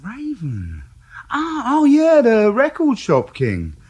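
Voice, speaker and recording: A cheeky crook. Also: male voice, very high voice, huge pitch swings, slow pace, darkish tone, breathy edge, full character voice. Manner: cocky, jokey, evasive. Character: cheeky working-class small-time male crook. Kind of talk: radio drama.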